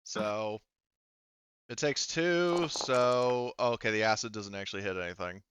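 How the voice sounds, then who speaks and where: A man running an online tabletop roleplaying game as game master, talking haltingly as he thinks aloud through the rules. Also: on the low side, a bit bright, slight background noise.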